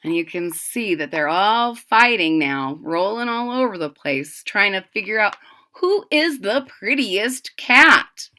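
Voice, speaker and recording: A woman reading a picture book aloud to young children, expressive and stopping to explain in simple words. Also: medium pitch, a little slow. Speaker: storybook reader.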